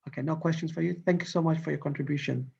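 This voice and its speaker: A polite conference chair keeps the session moving with short, welcoming remarks. The voice is husky and gravelly, and it comes through dull and distant over the online link. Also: medium pitch, steady tone, very fast, a little mellow.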